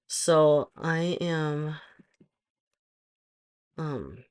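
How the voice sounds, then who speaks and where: A middle-aged woman with a hoarse voice, chatting casually through a nail tutorial and rambling with frequent pauses and ums. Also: low voice, expressive, darkish tone. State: hoarse from allergies. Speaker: nail stylist vlogger.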